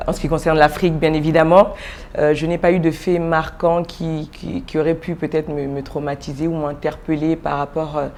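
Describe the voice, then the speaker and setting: A woman in her forties from Congo, a pastor being interviewed, telling her story softly with many pauses, fillers and restarts. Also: a little hiss or hum. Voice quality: low, soft voice with frequent fillers and restarts. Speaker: pastor, guest.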